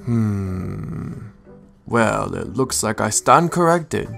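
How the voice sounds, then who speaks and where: An actor playing a polite but watchful creature guarding a town in an audio drama, courteous and firm. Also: male voice, lowish voice, animated, extremely slow, gravelly, a bit bright, faint music behind, full character voice. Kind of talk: audio drama character acting.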